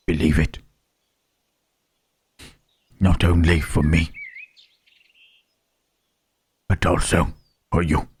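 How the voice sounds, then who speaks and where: A man voicing a gentle, self-loathing Frankenstein's monster in an ASMR roleplay, speaking in halting, formal phrases with a husky, rough voice. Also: deep voice, heavily acted. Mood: disbelieving, resigned. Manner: halting, formal phrasing with searching tag questions. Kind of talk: slow ASMR roleplay monologue.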